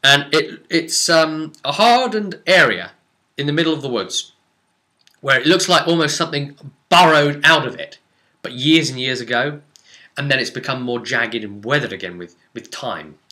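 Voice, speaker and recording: Guy recapping his rpg session, really bright punchy voice, dramatic and emphatic storyteller. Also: medium pitch, animated, moderate pace. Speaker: game master recapping a campaign. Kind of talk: tabletop role-play session recap, informal storytelling.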